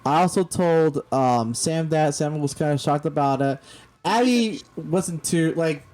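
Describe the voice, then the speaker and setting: A man's voice: on the high side, measured pace, a little mellow. Rambling man in his late twenties. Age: 20s. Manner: rambling, with many restarts and fillers. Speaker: talk show host. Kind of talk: casual chat.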